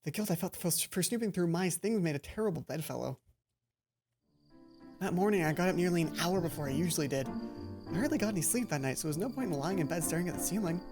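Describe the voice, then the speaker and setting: A woman's voice: lowish voice, fast, soft background music. A woman let's player reading a visual novel aloud expressively, now and then stumbling and repeating a line. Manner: expressive, stumbles and rereads lines.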